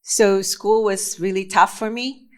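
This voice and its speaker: A woman from Indonesia presenting at a conference session. She speaks at an unhurried, measured pace, pausing often and restarting her sentences. Her voice sits in an ordinary middle pitch. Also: steady tone. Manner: slow and deliberate with frequent fillers and restarts. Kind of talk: conference talk.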